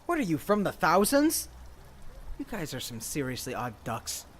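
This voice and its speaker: A man's voice: fairly high pitch, huge pitch swings, normal speed, voice pushed up. A man voicing a blunt, mouthy young gang member with a mocking, straightforward manner in an audiobook. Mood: incredulous. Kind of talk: audiobook character voice.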